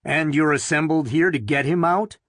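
A man's voice: on the high side, moderate pace, fairly bright tone, no room sound, studio quality. A man voicing a formal, courteous male TV news announcer in an audiobook.

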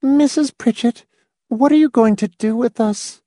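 A woman's voice: on the high side, measured pace, mellow, dry recording, clean studio sound, pitched above the performer's own voice. A man doing a strained, thin-voiced nervous woman.

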